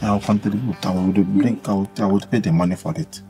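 An actor with a Nigerian accent playing a doting, soft-hearted boyfriend in a film drama, coaxing and repeating himself. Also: male voice, lowish voice, steady tone, fast talker, faint music behind, lightly acted. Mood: soothing, pleading. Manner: coaxing, repetitive, punctuated with "eh". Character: doting, soft-hearted boyfriend soothing his crying woman.

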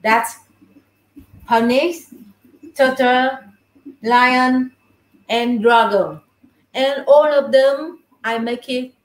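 A middle-aged woman with a Vietnamese accent speaks slowly in simple, broken English. Her voice is on the high side, and the room echoes around her as she explains her craft over a video call. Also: fairly even intonation. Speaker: puppeteer, guest. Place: small indoor puppet room. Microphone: webcam at a little distance.

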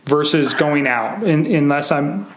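An American man questioning as a police investigator, phrasing things carefully and haltingly, on a recorded phone interview. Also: on the high side, animated, echoey room, a little hiss or hum, rough recording.

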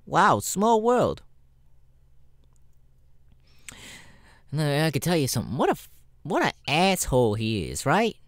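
A jaded male spider demon, played by a man for an ASMR roleplay. His pitch swings widely as he swears and drawls with weary sarcasm, recorded close and dry. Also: a bit bright, dry recording, full character voice. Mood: surprised, venting. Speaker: voice actor, ASMR roleplay artist. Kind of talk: ASMR character audio roleplay.

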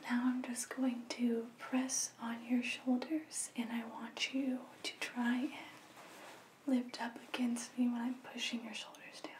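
Young woman, soft ASMR whisper, unhurried, even tone, soothing examiner manner, roomy sound. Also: on the high side, recorded from a distance, noisy background. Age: 20s. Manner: soft, slow, soothing, reassuring. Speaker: ASMR performer acting as a medical examiner. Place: small plain indoor room.

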